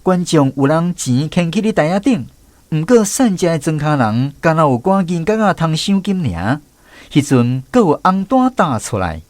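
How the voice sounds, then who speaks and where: A man's voice: on the high side, big pitch swings, moderate pace, no room sound. A man with a Taiwanese accent giving scripted storytelling narration for a radio drama.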